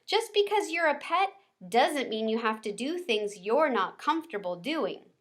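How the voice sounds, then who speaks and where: A woman voicing an excitable, kind pet hamster in a storybook, exclaiming and repeating words eagerly. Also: on the high side, unhurried, pitched above the performer's own voice. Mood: reassuring.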